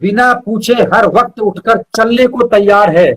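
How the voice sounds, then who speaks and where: High-pitched husky man reciting poetry. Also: measured pace, a bit bright, low-quality recording. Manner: repeats lines for emphasis. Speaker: poet, interview guest. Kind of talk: poetry recital with repeated lines and short asides to listeners.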